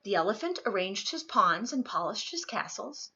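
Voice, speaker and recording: A woman reading a children's storybook aloud expressively, with dramatic, drawn-out emphasis on words. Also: mid-range voice, sing-song, pushed and bright, slightly rough, highs cut off. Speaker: storybook reader.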